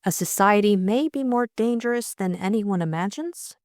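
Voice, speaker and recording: A woman's voice: medium pitch, normal speed, darkish tone, dry recording, studio quality, close to an everyday voice. A woman voicing a spirited young upper-class lady sleuth, polite but direct and probing, in an audiobook story. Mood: polite, persistent.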